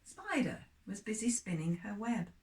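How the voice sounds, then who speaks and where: A woman's voice: mid-range voice, expressive, normal speed. An older woman telling a children's story expressively, addressing small children.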